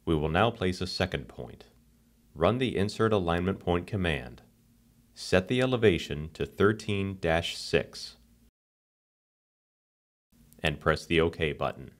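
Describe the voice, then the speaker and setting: A man giving calm, scripted narration for a software tutorial screencast. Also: low voice, a little slow, pauses often.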